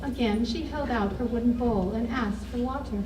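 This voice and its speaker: A woman telling a folktale to children at a live puppet show, with measured, dramatic phrasing. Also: fairly high pitch. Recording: echoey, distant mic, music over voice. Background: ukulele or guitar music, clearly heard.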